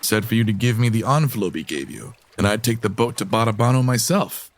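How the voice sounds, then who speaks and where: An actor playing a sly, menacing crook with theatrical hardboiled patter in a comedy adventure audio drama. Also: male voice, fairly low pitch, a little fast, close to an everyday voice. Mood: pushy.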